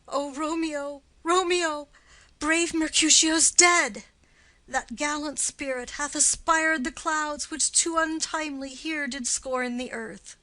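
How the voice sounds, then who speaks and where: A woman's voice: sits high, steady tone, takes it slowly, pushed and bright, no echo, full character voice, low-quality recording. A woman playing a loyal young man who reports events earnestly in a classical stage play. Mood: urgent. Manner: earnest, declamatory. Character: loyal young male friend, urgent bearer of bad news.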